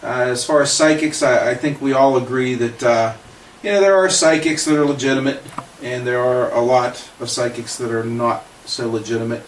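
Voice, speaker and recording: A man's voice: mid-range voice, steady tone, normal speed. Echoey, distant American man in his forties. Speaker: paranormal investigator. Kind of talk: casual spoken self-introduction to camera. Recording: echoey room, distant mic, faint noise.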